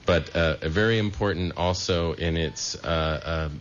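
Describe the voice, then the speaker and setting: Very deep-voiced male radio host. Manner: measured, with filler pauses. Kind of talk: casual radio talk. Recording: dull muffled broadcast with faint noise.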